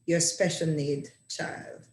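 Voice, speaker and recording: A woman's voice: sits low, fairly even intonation, takes it slowly. A woman hosting a talk show, giving formal, scripted introductions. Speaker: host. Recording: echoey room.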